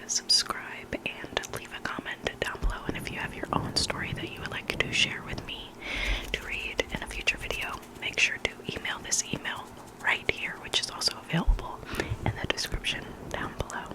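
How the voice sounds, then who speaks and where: ASMR host for spooky story readings, fully whispered and breathy, intimate and deliberate delivery. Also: low-quality recording.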